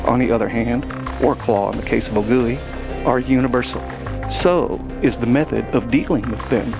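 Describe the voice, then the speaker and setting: Male narrator, wry and dry, gravelly, with music beneath. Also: medium pitch, moderate pace. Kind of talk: scripted story narration. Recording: music bed under narration.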